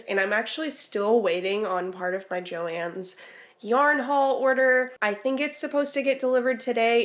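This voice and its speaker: A woman talks through her crafting updates in a loose, chatty way, pausing on ums and restarting her sentences. Her voice is stuffy and slightly nasal from lingering congestion, and her pitch sits at an ordinary middle height. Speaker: crochet vlogger. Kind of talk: casual vlog chat.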